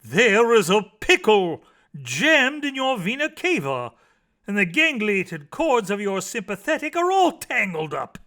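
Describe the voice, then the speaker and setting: A man's voice: high-pitched, big pitch swings, unhurried, pushed and bright, full character voice. A man voicing a pompous, matter-of-fact doctor in a storybook, handing down pronouncements in a declarative way.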